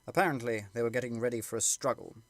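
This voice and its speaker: A man's voice: medium pitch, fast, a bit bright, no echo. A man reading a literary story aloud as scripted audiobook narration.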